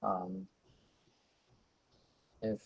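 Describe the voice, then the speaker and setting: A man's voice: extremely slow, a little hiss or hum. A man playing a tabletop game over voice chat, asking hesitant, trailing questions. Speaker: player.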